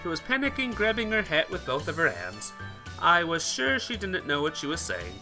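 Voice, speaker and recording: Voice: medium pitch, big pitch swings, moderate pace, music in the background. A let's player reading a visual novel aloud as dramatic narration, occasionally stumbling and correcting the wording.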